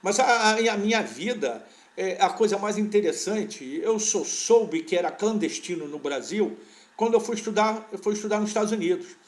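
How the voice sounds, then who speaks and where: An older man with a fairly high-pitched voice recalls his past as an interview guest. He speaks in a relaxed, wandering way and often stops to restart his sentences. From born in Portugal, raised in Brazil. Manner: unhurried, rambling recollection with frequent restarts. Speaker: veterinary parasitology professor, interview guest. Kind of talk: relaxed conversational storytelling.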